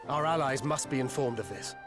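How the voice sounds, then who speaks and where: A man's voice: mid-range voice, brisk, music in the background, close to an everyday voice. An actor playing an earnest, businesslike male scout in a video game cutscene. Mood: serious, concerned.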